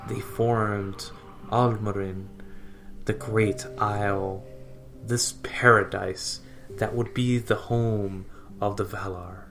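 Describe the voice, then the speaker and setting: A man narrating scripted mythology in a solemn, measured, dramatic storytelling style. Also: fairly low pitch, steady tone. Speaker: podcast co-host and narrator. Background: loud music.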